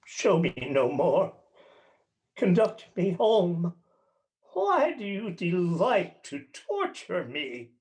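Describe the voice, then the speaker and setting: A man's voice: sits high, sing-song, slow, a little mellow, exaggerated voice. A man playing an old miser emotionally revisiting his past, exclaiming as he goes, in a radio play. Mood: anguished.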